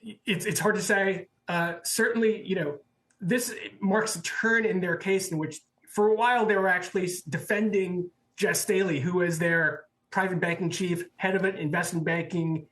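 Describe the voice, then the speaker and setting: A man working as a banking reporter, explaining developments off the cuff in a halting way on live news. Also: high voice, steady tone, fairly bright tone. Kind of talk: live unscripted news analysis. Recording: roomy, echoey sound.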